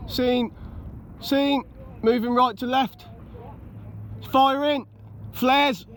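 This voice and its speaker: Man shouting terse combat callouts. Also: very high-pitched, fairly even intonation, drawn-out words. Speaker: soldier. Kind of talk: radio-style combat callouts. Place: outdoors in a combat zone. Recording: outdoor combat footage with loud background noise.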